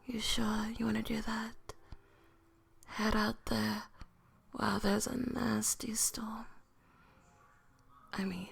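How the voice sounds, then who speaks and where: A woman performs a noble vampire lady for an ASMR roleplay in a very breathy whisper with a creaky edge. She is haughty and menacing, drawing out her formal, taunting words slowly and seductively. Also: on the high side, level tone, exaggerated voice. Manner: whispered, slow, taunting, formal old-world phrasing.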